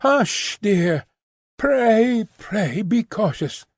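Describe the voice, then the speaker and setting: A man voicing a gentle, anxious young woman who speaks in a pleading, faltering way. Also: high voice, very animated, drawn-out words, no room sound, studio quality, voice pushed up, full character voice.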